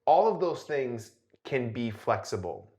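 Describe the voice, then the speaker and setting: A man's voice: medium pitch, very animated, unhurried, mellow. A man co-hosting a podcast, talking casually as he thinks aloud and checks for agreement. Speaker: podcast co-host. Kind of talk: casual discussion. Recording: roomy, echoey sound.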